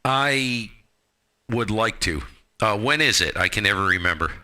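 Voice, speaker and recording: A man's voice: lowish voice, animated, unhurried, pushed and bright. A man chatting casually as a ham radio operator and host, in an informal, rambling way. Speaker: amateur radio operator and live stream host.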